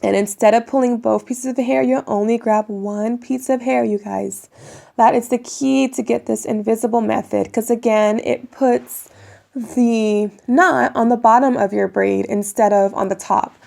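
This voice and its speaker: A young woman with a raspy voice from a cold, chatting casually to viewers while demonstrating a hair tutorial at home. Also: a little high, fairly even intonation, normal speed, a little mellow. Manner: chatty, addresses viewers as you guys, many ums. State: raspy voice getting over a cold. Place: bedroom at home, indoors.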